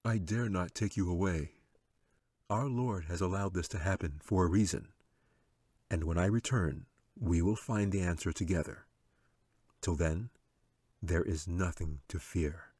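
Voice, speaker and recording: A man voicing a solemn, noble male angel who speaks gravely in formal, old-fashioned phrasing. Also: low voice, a little slow, pauses often, a little mellow, no echo, studio quality.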